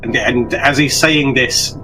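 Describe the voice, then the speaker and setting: Guy player chatting through his character's moves, medium pitch, music in the background. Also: slow pace, bright, forceful tone, rough recording. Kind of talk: casual tabletop roleplay chat. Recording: music behind the voice.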